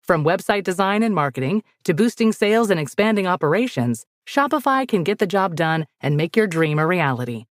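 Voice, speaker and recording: A woman's voice: lowish voice, very animated, a little fast, few pauses, no room sound, clean studio sound. A woman announcer reading a scripted advertisement. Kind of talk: scripted ad read.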